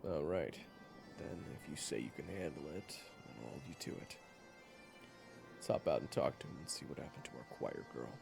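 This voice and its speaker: A man playing a gruff, impatient hired hunter in a fantasy audio drama, brusque and sardonic. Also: a bass voice, animated, normal speed, over music, heavily acted. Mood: gruff, blunt. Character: gruff, impatient, blunt hired killer-hunter named Raven. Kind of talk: fantasy audio roleplay acting.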